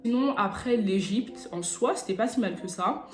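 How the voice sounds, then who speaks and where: A young woman from France telling personal stories in a casual, animated, slangy chat as a video blogger. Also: mid-range voice, moderate pace. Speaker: YouTube vlogger. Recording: roomy echo, music bed behind. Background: background beat music.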